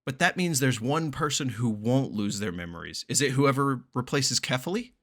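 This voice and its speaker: A man doing casual reaction commentary on a story game, excitable and sweary as he thinks aloud. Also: medium pitch, moderate pace, faint music behind.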